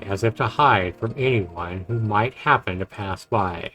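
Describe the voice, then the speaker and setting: Low-pitched man streaming a game. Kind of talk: reading visual novel narration aloud with casual commentary. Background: game music.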